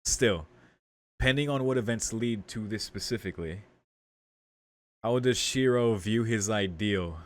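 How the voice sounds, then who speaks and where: A man's voice: fairly low pitch, measured pace, long pauses. A man doing a casual game playthrough, reading text aloud and commenting, with game music underneath. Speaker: game streamer.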